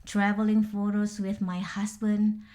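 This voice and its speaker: A woman's voice: mid-range voice, monotone, unhurried, dark tone. Indonesian-accented woman explaining paperwork. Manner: careful, methodical, explaining one document at a time. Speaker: vlogger. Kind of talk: step-by-step instructional voice-over.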